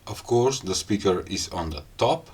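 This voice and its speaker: A man with a non-native English accent giving a casual hands-on gadget demo in short, halting phrases. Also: lowish voice, expressive, a little mellow, breathy edge. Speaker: gadget review host. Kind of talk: casual hands-on unboxing and product demo talk.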